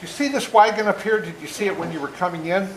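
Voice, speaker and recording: A man in his seventies talking and reading aloud to children as an author, plain-spoken and deliberate, often checking in with questions. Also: sits high. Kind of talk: storytelling talk and read-aloud to children. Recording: echoey room with faint noise.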